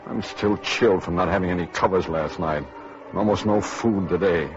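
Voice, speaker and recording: A man's voice: deep voice, moderate pace, music in the background, close to an everyday voice. An actor playing a suspicious, grumbling working man with blunt, folksy speech in an old-time radio drama. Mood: suspicious, bitter. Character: suspicious, grumbling working-class male survivor. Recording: noisy muffled old radio recording.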